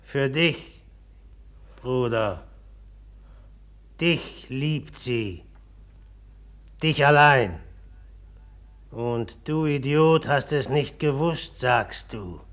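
A brooding, jealous rival in a radio drama, with a medium-pitched voice. He speaks extremely slowly and haltingly, every phrase heavy with menace. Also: animated, fairly bright tone. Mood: bitter, menacing. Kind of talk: German radio play acting.

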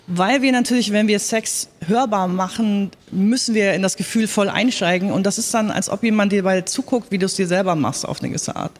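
A woman's voice: mid-range voice, fairly quick, hardly any pauses. A woman speaking in a panel talk as a radio drama director, explaining her work in an earnest, emphatic way.

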